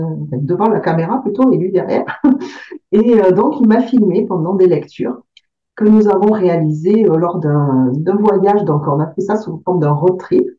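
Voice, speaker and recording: A woman author from southern France answering interview questions, hesitant, with many fillers and repeated words. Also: lowish voice. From Aveyron, southern France. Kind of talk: interview answers about her book. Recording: distant, roomy, dull sound.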